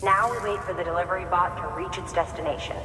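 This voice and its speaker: A man's voice: high-pitched, steady tone, fast, faint music behind. An actor playing a polite male engineer and new father who explains his delivery bots at length, in a game cutscene. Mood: earnest. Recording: echoey hall with loud background noise.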